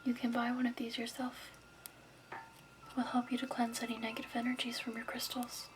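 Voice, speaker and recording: A woman whispering softly and breathily in a gentle, measured ASMR roleplay as a shopkeeper. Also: a little high, completely flat delivery, noisy background. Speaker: ASMR roleplay host as crystal shop keeper.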